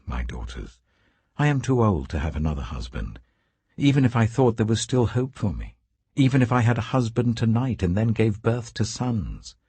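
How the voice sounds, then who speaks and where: Man reading as grave, husky, weary widowed mother. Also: lowish voice, warm tone, no echo, full character voice. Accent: British. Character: older widowed mother, weary, bitter, tender.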